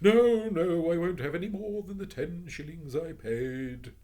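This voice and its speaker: A man voicing a fussy woman who turns jolly and kind, shrill and gushing. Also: unhurried, warm tone, natural voice. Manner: shrill when cross, gushing when sweet.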